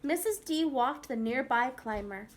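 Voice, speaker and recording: A woman teacher reads a picture book aloud to young children in a sing-song, animated way. Her voice is high and swoops up and down, and she stops now and then to question the class. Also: moderate pace. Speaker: preschool teacher.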